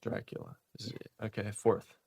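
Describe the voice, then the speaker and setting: A man's voice: fairly low pitch, wildly swooping pitch, normal speed, vocal fry, darkish tone, breathy edge, dry recording. A man hosting a radio show with casual comedy banter. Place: small radio studio.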